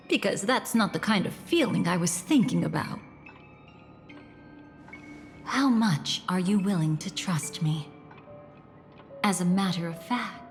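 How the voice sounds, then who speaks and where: A woman voicing a poised, gentle and coy guide in a video game, speaking in a measured, intimate, enigmatic way. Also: mid-range voice. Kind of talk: dramatic video game voice acting. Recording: long reverb, faint music behind. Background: soft background music.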